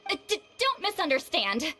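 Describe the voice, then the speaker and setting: A proud, prickly shaman of great age speaks with a high, lively voice, full of haughty, dry sarcasm. It sounds like a game cutscene with soft music behind. She treats the others as beneath her. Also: normal speed, full character voice. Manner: haughty, defensive and dryly sarcastic. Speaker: shaman character in a video game.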